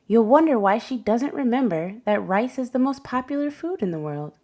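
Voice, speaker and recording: A woman reading a children's picture book aloud as a storyteller, with gentle, deliberate phrasing for young listeners. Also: on the high side, animated. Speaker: read-aloud host and storyteller.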